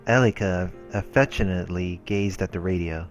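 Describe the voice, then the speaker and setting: A man's voice: low voice, moderate pace. A man hosting a let's play, reading visual novel narration aloud with brief reactions of his own. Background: background game music.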